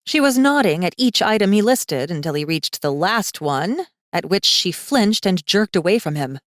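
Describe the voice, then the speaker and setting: Low-voiced woman narrating fantasy fiction. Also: lively intonation, moderate pace, dry recording, clean studio sound. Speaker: audiobook narrator.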